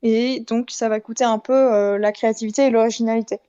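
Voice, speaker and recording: A woman explaining her opinion in a casual debate, in a measured way, on a slightly dull-sounding mic. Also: fairly high pitch, steady tone, dark tone, clean tone. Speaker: debate participant, amateur audio fiction maker.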